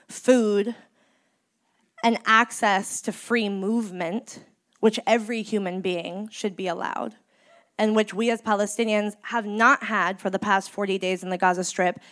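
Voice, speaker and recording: A woman in her thirties from the Bay Area, a community organizer in mourning, giving an impassioned speech in a measured, emphatic way with deliberate pauses. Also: medium pitch, steady tone, fairly bright tone. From San Francisco Bay Area, United States. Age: 30s.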